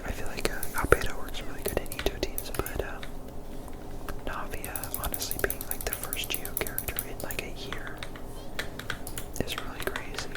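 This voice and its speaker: A person whispering casual, rambling ASMR commentary over video gameplay, in a breathy, unvoiced voice close to the microphone. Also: rough recording. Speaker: video game streamer.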